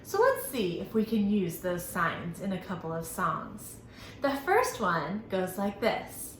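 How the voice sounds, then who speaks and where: A woman hosting a children's storytime lesson, speaking in an animated, sing-song, instructional way and enunciating carefully for young children. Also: fairly low pitch, measured pace. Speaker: children's library storytime host. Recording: roomy echo, faint background noise.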